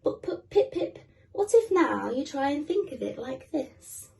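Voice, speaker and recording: Lady reading as a loving mummy penguin in a picture book, soft coaxing, high mellow voice. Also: sing-song, unhurried, gravelly, recorded from a distance, heavily acted. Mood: tender, encouraging. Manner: soothing, coaxing. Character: gentle, patient, loving mother penguin.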